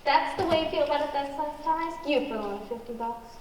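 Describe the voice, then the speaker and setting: Woman acting brazen female hustler, old-time comedy patter, insistent, fairly high voice, faint background noise. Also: brisk, close to an everyday voice. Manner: insistent, bossy, matter-of-fact. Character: brazen, fast-talking female con artist comic partner. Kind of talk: vintage vaudeville comic banter. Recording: roomy, distant microphone, faint noise.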